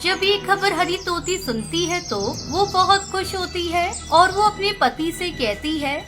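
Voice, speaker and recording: A woman tells a children's cartoon story in a plain, steady narrating style. Her voice sits very high, and music plays clearly beneath her. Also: measured pace. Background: background music.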